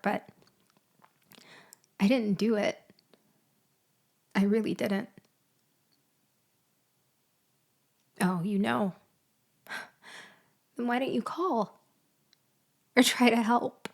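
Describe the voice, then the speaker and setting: A woman performs a vengeful ex-girlfriend, newly out of prison, in an intimate audio roleplay. She speaks slowly at a medium pitch, leaving loaded pauses. Her words are pointed and sardonic, with a mock-sweet edge over the bitterness. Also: vocal fry, darkish tone. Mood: wounded, coolly pointed. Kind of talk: girlfriend ASMR roleplay acting.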